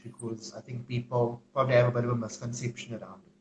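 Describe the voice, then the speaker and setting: A man hosting a live video interview, asking friendly, halting questions. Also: mid-range voice, little pitch movement. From South Africa. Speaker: host. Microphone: video call. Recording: echoey video call with faint hum.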